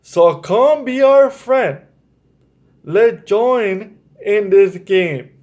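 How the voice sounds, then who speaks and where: A man's voice: high voice, lively intonation, very slow, darkish tone, dull audio. A man hosting a children's story time, reading aloud and explaining things to young listeners in an encouraging, direct way. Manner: encouraging, addresses listeners directly with check-in questions.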